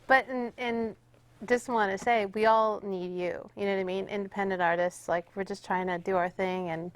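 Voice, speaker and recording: Female musician guest, mid-pitched, casual chat, slight background noise. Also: fairly even intonation, moderate pace.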